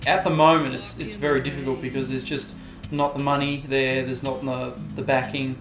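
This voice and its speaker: A man's voice: mid-range voice, level tone, normal speed. A man from Tasmania with an Australian accent, talking casually and reflectively as an interviewed skater. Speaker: professional vert skater, interviewee. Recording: roomy echo, guitar music behind. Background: guitar music.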